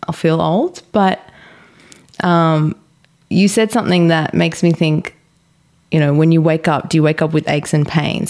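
A woman in her early forties co-hosting a podcast, chatting in a thoughtful way with frequent pauses and fillers. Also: low-pitched, darkish tone. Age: 40s. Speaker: podcast co-host. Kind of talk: casual chat.

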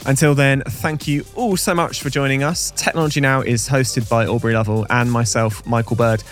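A man's voice: medium pitch, steady tone, normal speed, soft background music. A man co-hosting a podcast, reading scripted segments in a conversational way with wry, chatty asides. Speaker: podcast co-host.

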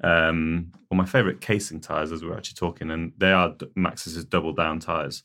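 A man with a very low voice, chatting easily about technical gear. He fills his explanations with loose hedges and casual asides. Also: brisk. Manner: relaxed, hedging with "you know, like". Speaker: technical editor, wheel tester.

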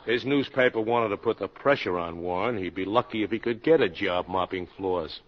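Actor as deep-voiced American policeman, old radio. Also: normal speed, natural voice. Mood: loyal, earnest. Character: plain-spoken, loyal male police officer. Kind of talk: 1950s American radio drama acting. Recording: dull old radio recording with music.

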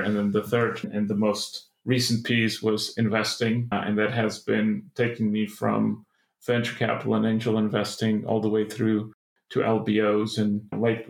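Guest: middle-aged Czech-born man, low flat voice, roomy echo, steady explaining. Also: creaky. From Czech Republic. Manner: measured, explanatory, some restarts. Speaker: private equity partner, guest. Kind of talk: interview answers.

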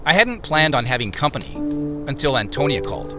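Clear music runs under an actor playing a reflective man keeping an audio diary in a drama. His mid-range voice is expressive in its melody, while his manner stays confiding, descriptive and understated. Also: mid-range voice, a little fast, natural voice. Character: reflective man narrating his own journey, quietly content. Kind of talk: first-person audio diary narration in a drama. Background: music bed.

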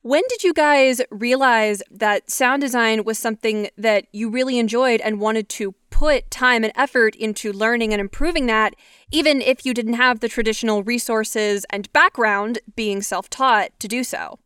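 A woman's voice: on the high side, moderate pace, a bit bright, clean tone, no echo, studio quality. A woman hosting a podcast, asking articulate, curious questions about sound design. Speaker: podcast host interviewing guests. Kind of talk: interview questions.

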